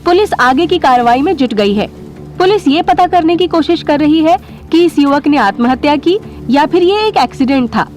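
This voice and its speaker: A woman's voice: high-pitched, lively intonation, moderate pace, a little mellow, faint music behind. A woman playing a TV news anchor, reading local news in a formal newsreading delivery.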